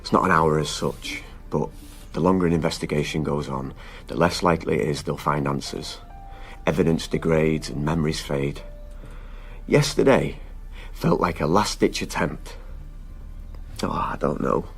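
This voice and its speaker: A man's voice: rumbling low, moderate pace, creaky, faint music behind. An actor playing a weary, anguished husband and father in a naturalistic radio drama. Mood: subdued, despairing.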